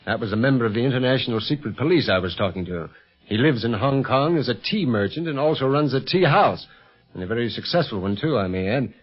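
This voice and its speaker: An actor with an American accent playing a calm, confident secret agent in a 1930s radio adventure serial. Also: male voice, medium pitch, fast talker, husky. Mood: measured. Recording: muffled 1930s radio recording, faint music.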